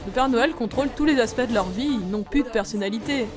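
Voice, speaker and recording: Fast-talking woman commentator over music. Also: on the high side. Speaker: commentator in a satirical investigation video. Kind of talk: mock-documentary commentary. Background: background music under the voice.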